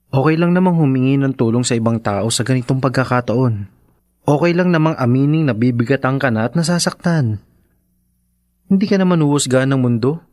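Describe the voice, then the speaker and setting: An actor playing a devoted, persistent young suitor in an earnest, pleading Filipino radio drama style. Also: male voice, medium pitch, darkish tone, no echo, clean studio sound, lightly acted. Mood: gently urging. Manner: earnest, pleading, mixes in English.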